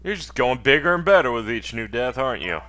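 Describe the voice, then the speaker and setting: A man's voice: mid-range voice, very animated, fast talker, fairly bright tone, faint music behind. A man voicing a wry, cool-headed ghost from a video game. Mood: teasing. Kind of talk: video game character dub in a let's play.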